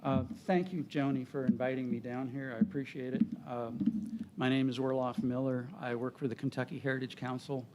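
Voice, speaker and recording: A soft-spoken man in his fifties, a state preservation planner, giving a measured prepared presentation to a city board. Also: medium pitch, steady tone, heavy background noise. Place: council meeting room.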